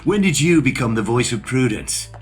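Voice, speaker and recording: An actor playing a brash, sardonic Irish racing driver in a video game, with an Irish accent and a cocky, foul-mouthed way of talking. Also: male voice, mid-range voice, very animated, moderate pace, faint music behind, natural voice. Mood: cocky, joking. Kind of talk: dramatic video game cutscene acting.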